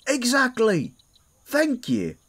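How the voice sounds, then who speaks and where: Woman acting a restless young adventurer, wildly swooping pitch. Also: huge pitch swings, slow, fairly bright tone, heavily acted. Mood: exasperated. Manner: blunt, bantering, exclamatory. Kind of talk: audio roleplay acting.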